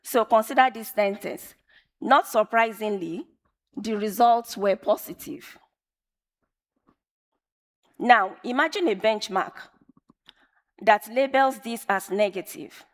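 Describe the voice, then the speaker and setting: A woman whose first language is Yoruba, giving a measured, emphatic conference talk and repeating phrases for effect. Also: medium pitch. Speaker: conference speaker. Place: large conference hall. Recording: long reverb of a large hall.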